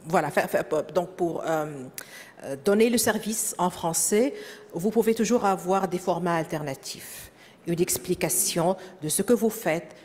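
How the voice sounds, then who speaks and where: A French-speaking woman speaks at a professional development session in a somewhat low voice. Her talk is full of fillers and restarts as she works out each point. The sound carries a long echo from the hall around her. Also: a little slow, darkish tone, slight background noise. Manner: frequent fillers and repeated words. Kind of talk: conference presentation. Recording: echoey hall.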